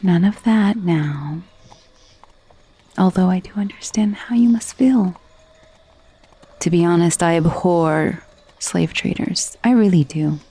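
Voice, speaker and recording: Soft, breathy, genteel vampire lady played by a woman. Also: lowish voice. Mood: calm, possessive. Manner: soothing, genteel, hushed, often slipping into whisper. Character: gentle, wealthy, possessive female vampire mistress, centuries-old undead. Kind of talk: ASMR roleplay, intimate soft-spoken monologue. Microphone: close, dry microphone.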